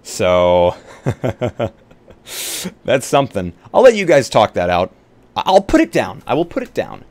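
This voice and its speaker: A man's voice: medium pitch, huge pitch swings, a little slow, darkish tone, no room sound. A man chatting casually on a live roleplaying stream as he talks through building a game character.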